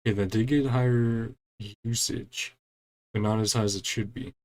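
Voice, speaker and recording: A man's voice: medium pitch, steady tone, moderate pace, a little mellow. A man giving casual live commentary as he streams a game, muttering to himself and trailing off.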